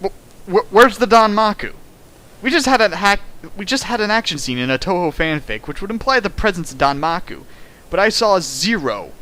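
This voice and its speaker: A man's voice: high voice, bright, forceful tone. A young man reading a bad fanfic aloud and riffing on it with sarcastic, exasperated rants. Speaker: fanfic riffer. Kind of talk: comedic dramatic reading and riffing.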